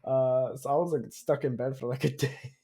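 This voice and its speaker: A man's voice: mid-range voice, moderate pace. A man co-hosting a podcast, telling stories in casual slang and acting out what other people said. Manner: animated slangy retelling, quoting others in dialogue. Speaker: podcast co-host. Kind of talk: casual chat, storytelling.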